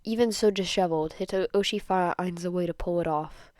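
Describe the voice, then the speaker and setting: A woman reads a story aloud as an amateur narrator, expressive but prone to small stumbles. Her voice sits a little low for a woman, and the sound is dry, with very little room. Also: moderate pace, no echo. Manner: stumbles over words and rereads them. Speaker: podfic narrator. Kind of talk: expressive amateur fan fiction reading.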